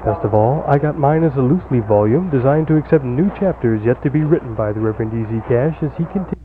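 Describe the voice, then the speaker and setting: A man's voice: medium pitch, fast talker, close to an everyday voice. A man playing an earnest church deacon announcer, reading a mock radio advertisement with a formal, polished sales delivery. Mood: earnest, promotional. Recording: noisy, phone-like 1980s radio recording.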